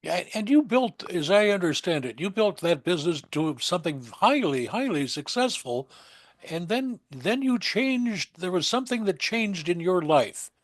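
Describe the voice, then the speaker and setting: Husky higher-voiced male podcast host. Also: sing-song, normal speed, dry recording. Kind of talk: scripted introduction and interview questions.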